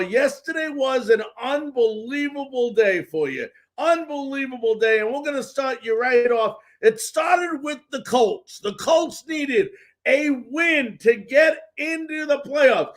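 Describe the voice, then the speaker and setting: A man hosting a live sports talk show, recapping games and addressing viewers in an emphatic, folksy way. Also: very high-pitched.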